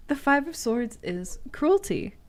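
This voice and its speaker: A woman's voice: sits high, sing-song, slow, a bit bright. A woman giving tarot readings on a stream in a playful, casually profane way, moving between loose chat and reading aloud.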